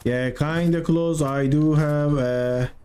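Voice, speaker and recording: A man with a heavy Turkish accent talks slowly and evenly, his tone staying flat and mellow. He chats with his viewers while he plays, his English halting as he searches for words. Faint noise hums underneath. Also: on the high side. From Turkey. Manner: chatty, answers viewers, halting non-native English. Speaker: game streamer. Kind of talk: live stream chat while playing.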